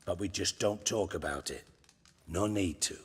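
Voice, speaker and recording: A deep, sardonic crime boss. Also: male voice, normal speed, breathy edge. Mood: measured, wry. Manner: blunt, wry, salty-tongued. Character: cunning, sardonic ex-spymaster turned crime boss, gruff man. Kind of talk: video game voice acting. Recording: reverberant hall, music behind.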